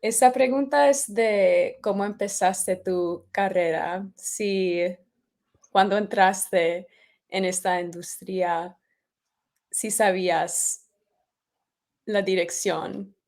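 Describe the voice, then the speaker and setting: A woman's voice: medium pitch, steady tone, takes it slowly, a little mellow. A young American woman interviewing a guest on a video call, upbeat and encouraging, switching between Spanish and English. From United States. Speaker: executive manager, interviewer. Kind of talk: casual interview chat.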